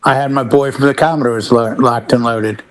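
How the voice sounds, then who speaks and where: A man's voice: medium pitch, fairly even intonation, normal speed, no echo. Guy on a music panel, regular mid voice, just chatting casually. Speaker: panelist on a music talk show. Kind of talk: casual chat.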